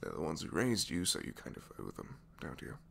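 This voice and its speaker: A man's voice: extremely low pitch, huge pitch swings, fairly quick, vocal fry, pushed and bright, slight background noise, exaggerated voice. A man playing a reluctant, secretly kind supervillain inventor in an ASMR roleplay, hesitant, wry and self-deprecating, rambling and sometimes dropping toward a whisper. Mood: resigned, unsure. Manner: hesitant, wry, rambling, trailing tag questions, sighs, drops to whispers. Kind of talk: ASMR roleplay audio drama, one-sided conversation.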